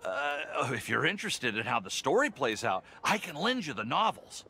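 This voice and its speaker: A man's voice: mid-range voice, sing-song, normal speed, sharp tone, slight background noise. An actor playing a friendly, nerdy young male alchemist in a video game, chatty, eager and a little sheepish. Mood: enthusiastic.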